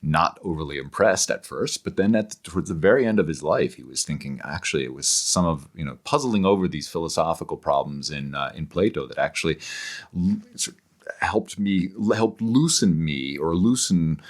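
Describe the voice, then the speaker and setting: Very deep-voiced, erudite man. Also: animated. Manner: erudite, digressive, halting with repeated words. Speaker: interview guest, scholar and author. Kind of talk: thoughtful intellectual conversation.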